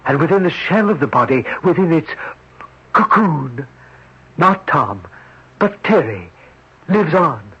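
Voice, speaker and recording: Unearthly wish-granting manservant, slow and husky, on an old radio play. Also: male voice, fairly high pitch, big pitch swings, heavily acted. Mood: sinister calm. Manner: slow, measured and formal. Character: otherworldly male servant granting wishes, calm. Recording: dull old radio broadcast.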